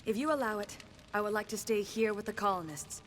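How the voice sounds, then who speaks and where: A woman playing a grave, serene alien mystic in a video game, speaking in a measured, formal way. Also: medium pitch, a little hiss or hum, close to an everyday voice. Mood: remorseful, sincere. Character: solemn, serene female alien mystic, remorseful.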